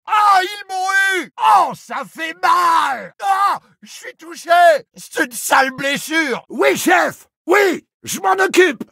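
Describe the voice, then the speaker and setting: A man's voice: very high voice, creaky, bright, forceful tone, dry recording, clean studio sound, exaggerated voice. An actor playing a fierce, battle-hardened male infantry soldier in a war game, barking urgent, aggressive short bursts. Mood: pained. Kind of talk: shouted war game combat barks.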